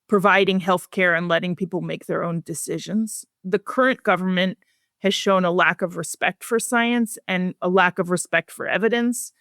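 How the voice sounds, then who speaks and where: A woman speaking as a guest researcher in a podcast interview, measured, earnest and articulate. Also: medium pitch, no echo, clean studio sound.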